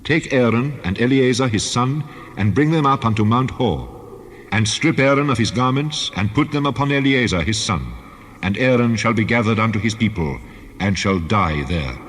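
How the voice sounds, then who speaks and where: An actor playing a stern, commanding God in a dramatized scripture reading, speaking solemnly and with weight in archaic English. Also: male voice, mid-range voice, normal speed, a bit bright, slightly rough. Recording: long cavernous reverb.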